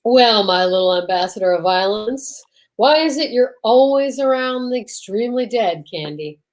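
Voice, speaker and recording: A woman playing a gruff male police detective in an old-time radio play, speaking in a dry, sardonic, lowered voice. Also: expressive, normal speed. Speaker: supporting actor in a radio play.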